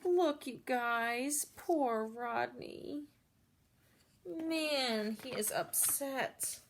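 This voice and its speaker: A woman with a fairly high voice reads a picture book aloud at a slow pace. Her delivery is expressive, with asides and questions aimed at children. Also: a little hiss or hum. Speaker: storybook reader. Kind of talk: children's read-aloud with asides to young listeners.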